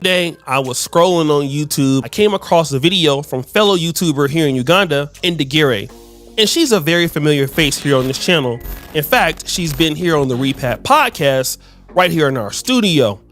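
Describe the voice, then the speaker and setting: A man with a high-pitched voice hosts a video channel. He talks straight to viewers in emphatic, blunt commentary, with faint music underneath. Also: animated, moderate pace, a bit bright. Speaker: YouTube channel host.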